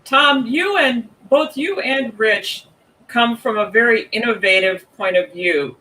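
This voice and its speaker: High, bright-voiced male host. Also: low-quality recording. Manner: measured, with uh fillers. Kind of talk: interview questions.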